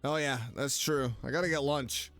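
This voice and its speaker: A man's voice: a little high, fairly even intonation, moderate pace, over music. A man giving casual reactions while streaming a game, muttering playful asides and often repeating himself. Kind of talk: casual gameplay reaction commentary.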